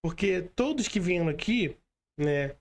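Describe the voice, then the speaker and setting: A man's voice: sits high, animated, measured pace. A man with a Brazilian Portuguese accent, a podcast host talking in a chatty, casual way and filling his talk with tag questions. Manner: chatty, filler-heavy, says "cara" and "né" often.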